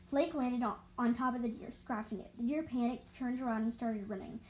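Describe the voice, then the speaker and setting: A woman with a fairly high voice narrates animal stories in an amateur way. She stumbles, repeats herself and steps out to explain. There is audible background noise on the recording. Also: darkish tone. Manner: halting, stumbles and repeats words. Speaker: storyteller reading own animal stories.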